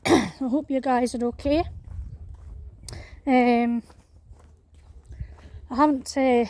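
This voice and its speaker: A Scottish woman vlogging as she walks along an outdoor path in a small town. Her voice is croaky, and her talk is full of fillers and restarts. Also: sits high, fairly even intonation, extremely slow, a little mellow. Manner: hesitant, many fillers and restarts. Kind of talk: walking vlog chat.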